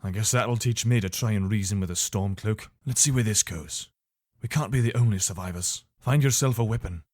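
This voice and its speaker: A man's voice: fairly low pitch, a little fast, darkish tone, dry recording, studio quality, lightly acted. A man acting an earnest, dutiful fantasy soldier. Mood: wary, practical. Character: dutiful, weary but decent male imperial soldier. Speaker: voice actor for a game mod. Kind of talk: fantasy video game character dialogue.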